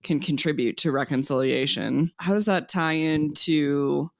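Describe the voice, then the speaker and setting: A woman's voice: low-pitched, a little slow, vocal fry, dry recording, clean studio sound. A woman hosting a podcast interview, asking encouraging follow-up questions. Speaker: podcast host.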